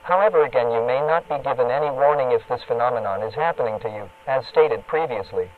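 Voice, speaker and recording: A man playing an official emergency alert announcer, reading a scripted warning in a formal, impersonal, measured way through a radio filter. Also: medium pitch, slight background noise. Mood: formal, grave. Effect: radio broadcast filter. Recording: narrow, phone-like radio broadcast sound.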